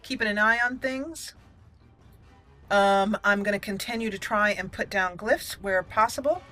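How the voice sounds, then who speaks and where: A woman's voice: mid-range voice, a little slow, pushed and bright, soft background music. A woman giving casual let's play commentary as a channel host, thinking aloud and repeating words as she goes.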